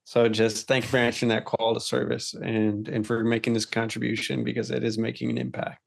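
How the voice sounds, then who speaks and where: A man's voice: medium pitch, completely flat delivery, brisk, vocal fry. A man talking casually as a podcast co-host, earnest and informal, often repeating words as he goes. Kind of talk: casual conversational talk.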